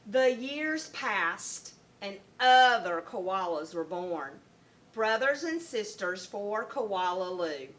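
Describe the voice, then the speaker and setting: A woman teacher reading a picture book aloud to young children in an expressive, deliberate storytelling manner. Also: mid-range voice, slight background noise. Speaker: first grade teacher.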